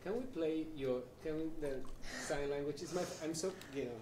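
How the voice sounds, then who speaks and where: A man's voice: on the high side, a little fast, darkish tone, a little hiss or hum. A man moderating a panel, setting up topics in a loose, conversational way with playful asides. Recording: echoey room, heard from far off.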